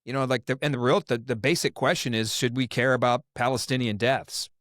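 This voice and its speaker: A man's voice: mid-range voice, fairly even intonation, fairly quick, a bit bright, no room sound. Opinionated, rambling male host, dry room. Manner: opinionated, rambling, many restarts. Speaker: podcast host. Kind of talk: casual political discussion.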